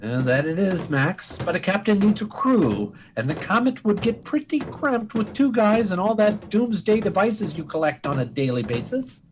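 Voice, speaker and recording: Blustery folksy admiral played by a man: high voice, animated military authority, radio comedy, slightly noisy recording. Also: normal speed, few pauses. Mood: jovial, brisk. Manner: brassy, emphatic military bluster. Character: blustery, folksy, commanding male space admiral. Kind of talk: comic old-time-radio-style acting.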